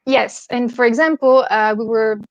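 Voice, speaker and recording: French woman with a high voice. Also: steady tone, moderate pace, highs cut off, a crowd in the background. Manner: hesitant, many fillers and restarts, laughs often. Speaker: guest. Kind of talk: casual conversation.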